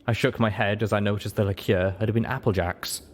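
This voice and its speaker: Guy narrating a fan fiction story, lowish voice, bit of background noise. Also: steady tone, a little fast. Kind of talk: scripted first-person story narration.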